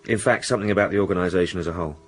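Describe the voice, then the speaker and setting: British police sergeant, lowish husky voice, polite but direct, radio drama. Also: male voice, racing. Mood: polite, curious. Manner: deferential but direct questioning. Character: polite, plain-spoken police sergeant, steadily inquisitive.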